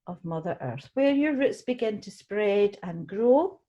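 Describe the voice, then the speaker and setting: A woman guides a relaxation meditation in a soft-edged, mellow voice. Her delivery is gentle and soothing, with unhurried phrases and room left between them. The pitch sits in a middle range for a woman. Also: lively intonation, dull audio. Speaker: guided meditation leader, tai chi qigong teacher.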